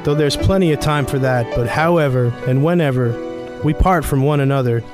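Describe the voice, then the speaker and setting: A man's voice: mid-range voice, fairly quick, over music, natural voice. An actor playing a kindly, humble, grieving father in an audio drama. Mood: tender grief.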